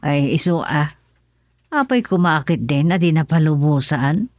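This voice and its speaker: An older mother from the village, with an Ilocano accent and a slightly low voice. She talks sensibly and with concern, in the conversational manner of radio drama. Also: lively intonation, measured pace, darkish tone, no echo, studio quality.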